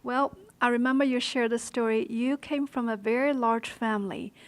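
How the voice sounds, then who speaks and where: A woman's voice: a little high, steady tone, moderate pace. A woman hosting a show, interviewing a guest conversationally. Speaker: interview host.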